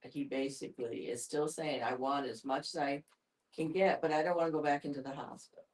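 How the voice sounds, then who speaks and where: Older participant in a reflective discussion, thinking aloud, medium pitch, picked up by a distant mic. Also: steady tone, a little fast. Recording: distant microphone.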